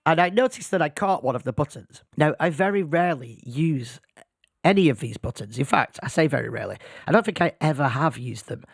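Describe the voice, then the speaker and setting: A man's voice: fairly high pitch, lively intonation, a little fast, creaky, no room sound, studio quality. A man who is losing his voice, hosting a casual solo podcast in a self-deprecating, rambling way. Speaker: podcast host. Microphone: podcast microphone.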